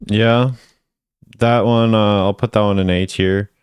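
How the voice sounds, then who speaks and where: A man's voice: lowish voice, fairly even intonation, measured pace, clean tone, dry recording, studio quality. A man hosting a video, giving casual commentary as he ranks game voice lines.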